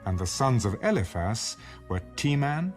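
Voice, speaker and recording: A man giving a formal, measured scripted narration of scripture, as in an audio Bible. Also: mid-range voice, sing-song. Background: background music.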